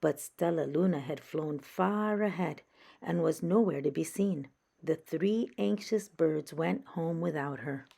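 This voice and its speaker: Woman reading a kids bedtime book, takes it slow, lots of vocal fry. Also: low-pitched, mellow. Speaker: storyteller. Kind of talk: children's read-aloud narration.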